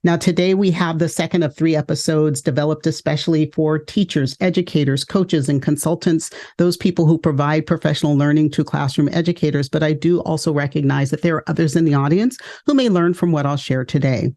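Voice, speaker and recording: A woman from California hosting an education webinar, speaking in a clear, measured, list-like way. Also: deep voice, dark tone. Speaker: education consultant and podcast host. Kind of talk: scripted webinar presentation.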